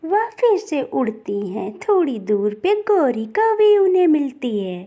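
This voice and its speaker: A woman's voice: high voice, big pitch swings, unhurried, mellow. A woman reading scripted narration for a children's cartoon story.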